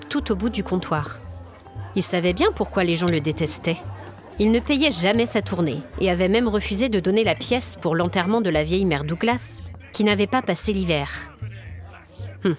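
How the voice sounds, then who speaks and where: A woman storyteller narrating a scripted audio story in a dramatic, atmospheric manner. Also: medium pitch. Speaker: storyteller, podcast host.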